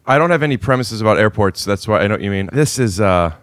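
A man in his thirties hosts a casual podcast with a loud, deadpan, joking delivery. His voice runs a little low, captured dry and close on a studio mic in a living room. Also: fast talker, no echo. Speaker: podcast host. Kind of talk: casual chat. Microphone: studio mic on boom arm, headphones.

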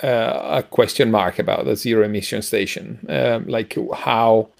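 Middle-aged male podcast co-host, lowish creaky voice, hesitant and conversational. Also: steady tone. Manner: halting, hesitant delivery with frequent pauses. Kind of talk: casual news discussion over video call. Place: home room, indoors.

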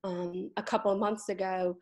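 A woman's voice: medium pitch, level tone, moderate pace, darkish tone. Young woman explaining on a panel call. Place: indoor room on a video call. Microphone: video call.

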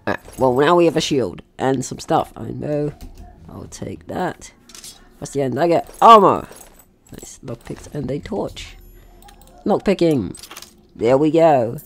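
Young man with lively, swinging pitch. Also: a little slow, pauses often. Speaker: gaming video host. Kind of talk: casual let's play commentary.

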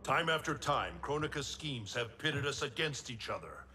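An actor playing a stern thunder god and war leader in a video game, speaking formally and gravely. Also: male voice, medium pitch, animated, normal speed, close to an everyday voice. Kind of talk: dramatic video game cutscene acting.

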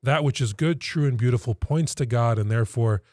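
Man, thirties, measured emphatic phrasing, conversational, dry studio mic sound. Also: mid-range voice, darkish tone, no echo. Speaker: podcast guest, pastoral associate. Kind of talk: conversational explanation. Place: small indoor podcast studio. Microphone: close studio mic on stand.

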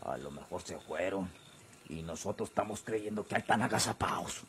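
Gravelly, deferential henchman. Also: sits low, moderate pace, a bit bright, slight background noise. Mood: doubtful, obedient. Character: deferential, doubtful Mexican henchman. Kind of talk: old Mexican radio serial acting.

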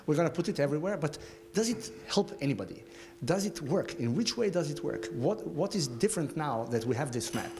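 A man's voice: a little high, expressive, brisk, a little mellow. A man in the audience asking a provocative, playful question at a talk, acting out hypothetical scenarios. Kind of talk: conference Q&A. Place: gallery lecture room.

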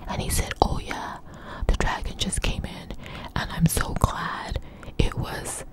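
A woman whispering close to the microphone in a breathy, intimate, conversational way, telling an informal story for ASMR. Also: unhurried.

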